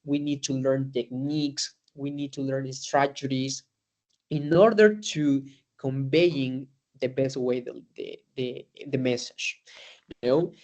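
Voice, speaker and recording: A man's voice: mid-range voice, fairly even intonation, slow pace, darkish tone. A man whose first language is Spanish gives an informal lecture to students in English, with many fillers, restarts and "no?" tag questions.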